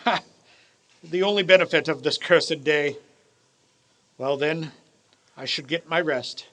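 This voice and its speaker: A man's voice: a little high, measured pace, sharp tone. A man playing a cranky, fearful old miser in a theatrical, muttering radio play style. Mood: muttering, doubtful. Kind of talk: stage radio play acting.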